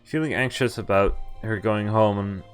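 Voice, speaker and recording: A man's voice: fairly low pitch, moderate pace, smooth. A man giving casual playthrough commentary on a game, reading its text aloud with wry, offhand asides. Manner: wry offhand asides between read lines. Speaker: game streamer. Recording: game music behind the voice. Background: visual novel music.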